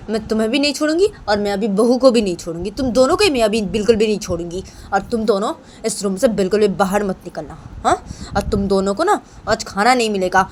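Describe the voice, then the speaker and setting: A woman voicing a stern older mother-in-law in a story, hectoring and repeating herself with sharp questioning tags. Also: lowish voice, slight background noise, natural voice.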